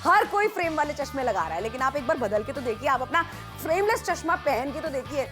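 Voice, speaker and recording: A woman's voice: sits high, big pitch swings, fast. A woman co-hosting a teleshopping show, chatty and urgent, agreeing with her partner and urging viewers to call. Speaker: TV shopping co-host. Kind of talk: teleshopping sales pitch. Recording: studio with background music.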